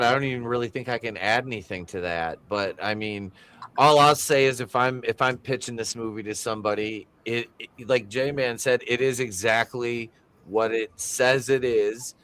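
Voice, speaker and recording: A man's voice: mid-range voice, moderate pace, a bit bright, no echo. A man on a podcast who often restarts his words as he talks. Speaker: podcast co-host. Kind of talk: casual podcast chat.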